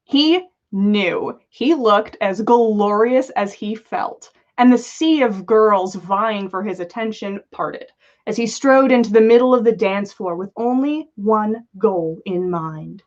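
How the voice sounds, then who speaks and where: A woman's voice: mid-range voice, slow pace, lo-fi sound. A woman reading a story aloud for a livestream in an amused, dramatic manner, with small slips. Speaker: podcast host reading listener fan fiction.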